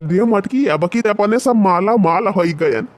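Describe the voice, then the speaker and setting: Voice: mid-range voice, animated, moderate pace, lightly acted. Bossy rustic Awadhi village husband, voice-acted. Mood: proud. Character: hungry, cautious rustic village husband, plain-spoken. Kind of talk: cartoon comedy dialogue.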